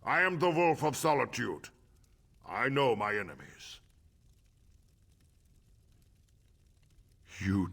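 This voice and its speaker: Here is a proud High King from a fantasy game, full of scorn and suspicion in his grief. The delivery is sharp and rough with fry, drawn out and slow, dropping to hushed menace and rising to a roar. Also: heavily acted. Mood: furious. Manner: haughty, scornful, swinging from hushed menace to roaring.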